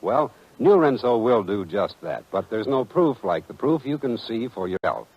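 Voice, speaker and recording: Old-time radio ad guy, husky and animated, sells straight to the listener, dull vintage sound. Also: medium pitch, normal speed. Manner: direct, persuasive address to the listener. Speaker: announcer. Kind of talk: 1940s radio advertising pitch. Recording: muffled vintage radio recording.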